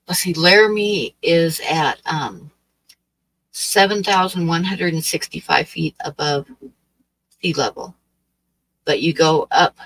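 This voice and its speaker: A woman's voice: on the low side, a bit bright, no room sound, poor audio. A woman in her late sixties chats loosely to her livestream viewers. Her talk wanders, with plenty of ums and restarts. The sound is dry and close, as from a webcam in a small room. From Oregon, US. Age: 60s. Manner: rambling, hesitant, many fillers. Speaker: livestream host. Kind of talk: casual chat with live chat. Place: small dim room with draped wall.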